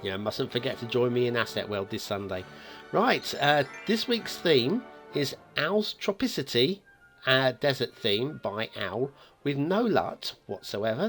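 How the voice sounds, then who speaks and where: Male host for casual game reviews: lively swooping intonation, mid-pitched, chatty and teasing, light music underneath. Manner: chatty, jokey, playfully teasing the viewer.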